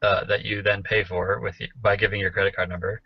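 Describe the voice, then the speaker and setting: A man's voice: fairly low pitch, flat delivery, fast, vocal fry, husky, no room sound. A man chatting casually and wryly as a podcast co-host, telling anecdotes. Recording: dull, lo-fi captured call audio.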